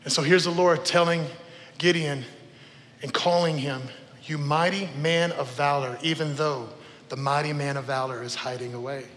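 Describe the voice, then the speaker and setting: A man's voice: fairly high pitch, fairly even intonation, moderate pace, fairly bright tone, a little hiss or hum. A middle-aged man preaching informally to a congregation, telling stories in a conversational, emphatic way, repeating phrases and checking in with his listeners. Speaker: preacher, former youth pastor. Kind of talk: informal storytelling sermon to a congregation. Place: church service in a large room. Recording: reverberant hall sound.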